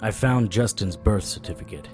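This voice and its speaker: An actor playing a wry, stubborn occult investigator in an audio drama, talking and narrating in a conversational noir style. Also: male voice, on the low side, normal speed, music in the background, natural voice. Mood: brooding, musing.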